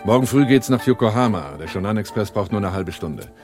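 An actor playing a shrewd, cool-headed arms smuggler in a German radio drama, husky and matter-of-fact. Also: male voice, on the low side, expressive, brisk, music in the background. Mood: calm, instructive.

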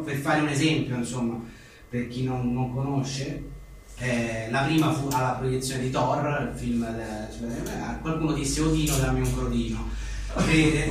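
A man being interviewed as an author, telling informal, digressive anecdotes in a wry way. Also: medium pitch. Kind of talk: informal book talk, rambling anecdotes. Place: echoing indoor venue at a live book presentation. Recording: very echoey room, distant mic, faint noise.

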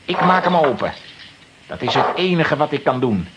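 A man's voice: fairly high pitch, very animated, normal speed, lo-fi sound. A man playing an ominous, vengeful vagrant brooding aloud in a children's audio drama. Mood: ominous, resolute. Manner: brooding monologue. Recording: very noisy drama recording.

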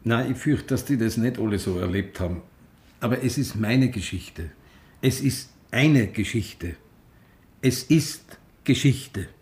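Bitter stubborn activist man, really raspy voice, pitch swings a lot, radio play. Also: animated, unhurried, a little mellow, exaggerated voice. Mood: weary, firm. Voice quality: husky, rough. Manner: emphatic, stubborn. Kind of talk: German radio drama acting.

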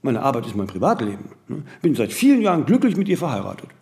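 A man's voice: mid-range voice, wildly swooping pitch, fast, gravelly, dark tone. A man playing a vain, disciplined, eccentric celebrity in a radio play, talking in flowing, emphatic, self-correcting monologues peppered with little tag questions. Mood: self-assured, emphatic. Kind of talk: radio play dialogue, conversational interview answers.

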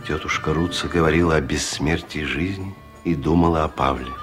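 A man narrating a 1970s radio drama in a calm, even storytelling manner. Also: very deep voice, brisk, music in the background.

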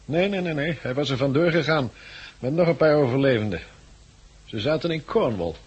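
A very husky male voice in a 1960s radio play. He is a friendly helicopter pilot recruiting for an island colony. His delivery is measured, courteous and explanatory. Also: mid-range voice, lively intonation. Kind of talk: 1960s Dutch radio drama acting. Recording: muffled 1960s radio drama recording.

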